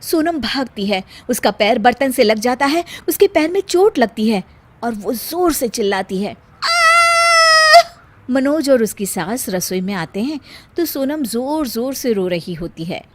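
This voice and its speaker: A woman's voice: on the high side, lively intonation, a little slow, darkish tone. A woman narrating a scripted story in the role of storyteller.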